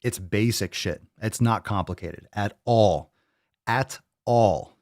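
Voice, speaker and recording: A man's voice: lowish voice, takes it slowly, a little mellow, no room sound, clean studio sound. A man in his thirties hosting a fitness podcast, lecturing bluntly with plenty of swearing. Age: 30s. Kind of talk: ranting livestream talk.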